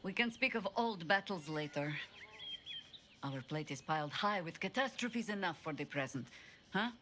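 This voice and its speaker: An actress playing a seasoned, dignified veteran adventurer in a video game, composed, reflective and gently wry. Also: female voice, lowish voice, lively intonation, normal speed, slight background noise. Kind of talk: video game companion dialogue. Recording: music behind the voice.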